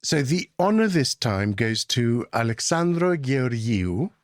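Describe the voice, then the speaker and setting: Male podcast co-host, mid-pitched, wry and conversational, dry close recording. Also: sing-song, measured pace, no room sound. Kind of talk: casual banter and commentary.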